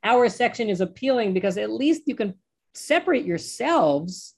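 A man's voice: sits high, expressive, moderate pace, gravelly. A man, a rabbi with an American accent, speaking as an interview guest in an impassioned, emphatic way. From United States.

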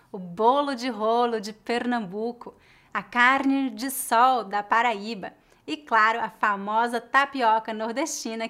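Brazilian woman teaching, são paulo accent, slightly high voice, calm and clear. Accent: São Paulo Portuguese. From Northeast Brazil. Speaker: language teacher. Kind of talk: scripted educational narration.

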